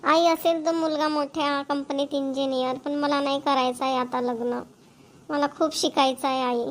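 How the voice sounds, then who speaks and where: Woman voicing a carefree stubborn college girl, high voice, playful. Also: fairly even intonation, normal speed, close to an everyday voice.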